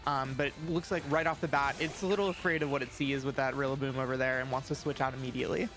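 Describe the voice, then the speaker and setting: A man's voice: mid-range voice, fairly even intonation, fast talker, low-quality recording. A man giving eager color commentary on a competitive match, explaining strategy with frequent fillers. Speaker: esports co-commentator. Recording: music playing under the voice. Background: background music.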